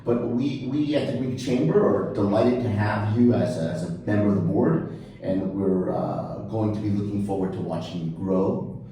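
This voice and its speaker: A man's voice: on the low side, normal speed, mellow, very echoey, distant mic, poor audio. A man with a New York accent hosting a casual interview podcast in an office, friendly and chatty with easy laughs. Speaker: podcast host, chamber president.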